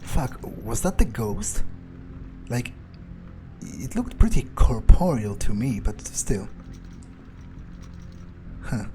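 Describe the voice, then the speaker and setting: A man plays through a video game in character, thinking out loud in a casual, muttering way. His delivery is unhurried and very slow-paced, at an average male pitch, and he swears freely. Game music is clearly audible behind him. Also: big pitch swings. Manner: casual, muttering, foul-mouthed, reacts aloud in character as a vampire. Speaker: gaming streamer, let's player. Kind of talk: in-character gameplay commentary, thinking aloud.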